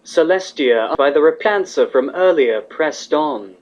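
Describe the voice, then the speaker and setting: A woman reading fan fiction as the narrator, in an expressive scripted storytelling style. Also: low voice, measured pace. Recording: slightly dull, muffled audio.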